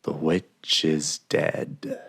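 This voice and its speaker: A man voicing a sinister husband in a horror story, solemnly intoning in a drawn-out, menacing way. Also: rumbling low, very animated, gravelly, fairly bright tone, breathy edge, exaggerated voice. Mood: solemn, eerie.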